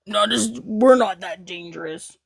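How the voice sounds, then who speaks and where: A woman's voice: medium pitch, a little slow, vocal fry. A woman hosting a casual livestream, rambling, laughing a lot and reading out viewers' comments. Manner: giggly, rambling, reads chat aloud. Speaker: livestream host.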